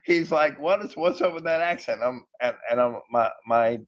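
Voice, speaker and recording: A man's voice: on the high side, moderate pace, lo-fi sound. A middle-aged man with a New England accent, chatting casually as a podcast host on a group voice call, often repeating words as he goes.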